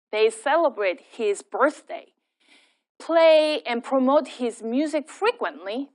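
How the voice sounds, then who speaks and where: A woman lecturer giving an academic talk in a measured, explanatory way, her first language Korean. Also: fairly high pitch.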